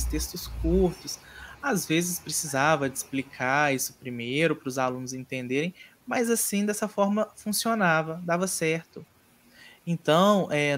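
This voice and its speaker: A man teaching an online mini-course in a measured, explanatory way, often pausing on hesitation fillers. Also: a little high, fairly bright tone. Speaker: course instructor.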